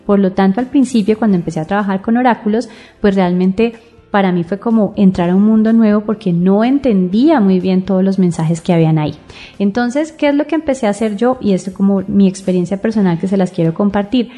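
A woman with a soft-edged, mellow voice at a middle pitch teaches an informal spiritual lesson. She explains things by restating each idea in several similar words. Faint music plays behind her. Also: brisk.

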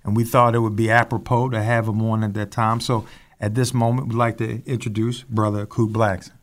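A man co-hosting a talk show, introducing the topic and guest in a measured, somewhat formal way. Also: fairly low pitch, level tone. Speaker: co-host.

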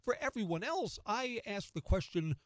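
A middle-aged American man hosting morning radio, giving opinion commentary in a conversational, emphatic way with pointed pauses. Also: sits high, very animated, dry recording, clean studio sound. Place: close, dry radio studio.

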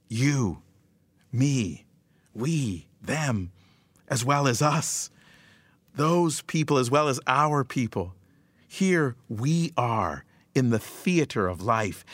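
Slow, measured male preacher. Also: medium pitch, fairly bright tone, no room sound. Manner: slow, measured, emphatic delivery with rhythmic repetition. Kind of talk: scripted devotional message.

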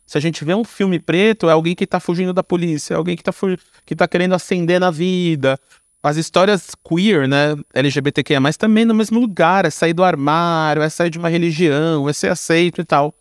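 A man with a Brazilian Portuguese accent and a slightly high voice, recorded dry with very little room sound. He talks in a casual interview style, slangy and informal, stringing thoughts together and often adding little tag questions. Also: a little fast, no room sound. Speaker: podcast guest, audio storyteller and creator. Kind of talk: casual interview talk about own work.

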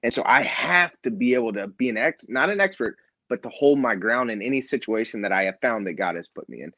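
A man's voice: medium pitch, animated, brisk, bright, forceful tone, dry recording. A man speaking as an interview guest in a conversational, motivational style, emphatic and repeating phrases for effect. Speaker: interview guest, nonprofit founder and CEO. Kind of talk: animated conversational interview, motivational leadership talk. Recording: slightly dull, lo-fi audio.

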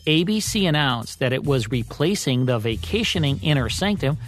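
A man's voice: mid-range voice, animated, moderate pace. A man co-hosting a radio show, reading scripted narration about radio history with music behind him.

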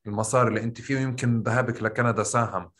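A man's voice: mid-range voice, steady tone, rapid-fire, a bit bright. A man with a rural Palestinian accent from Tulkarm, interviewing a guest in a thoughtful way, often searching for his words. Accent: Palestinian, Tulkarm rural with qaf. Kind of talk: conversational interview.

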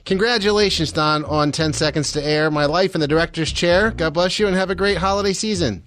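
A man's voice: fairly high pitch, fairly quick, fairly bright tone, faint music behind. An American man hosting a radio interview in a conversational, encouraging way, rephrasing his questions as he goes. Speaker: radio show host.

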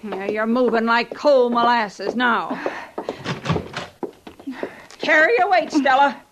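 A hard-bitten frontier laundress with a high, folksy rural American voice. She is scornful and sarcastic, forever scolding, in the manner of old-time radio drama. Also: slow, background noise.

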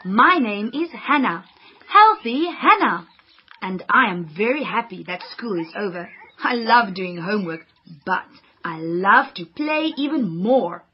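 A woman's voice: medium pitch, lively intonation, unhurried, breathy edge, muffled recording. A woman voicing a cheerful, healthy girl who greets the viewers and chats to them directly. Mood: chirpy. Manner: chirpy, addresses viewers directly.

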